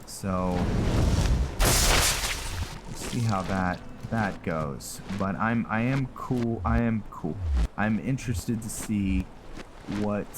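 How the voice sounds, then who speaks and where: A man giving casual commentary on his own video game playthrough, halting as he thinks aloud. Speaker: gaming video host narrating own playthrough. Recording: heavy background noise, rumbling game ambience.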